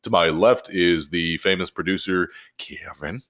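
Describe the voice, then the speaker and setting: A man's voice: low-pitched, a little slow, fairly bright tone. A man hosting a podcast in a casual, jokey way with plenty of swearing. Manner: profane, jokey. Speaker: podcast host. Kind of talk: casual podcast chat and animated story reading.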